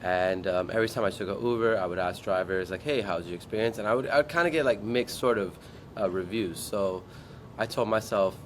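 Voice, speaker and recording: A man from Guam, a startup founder, telling his story in an interview in a relaxed way and acting out his past thoughts. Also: lowish voice, brisk, a little hiss or hum. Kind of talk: interview answers.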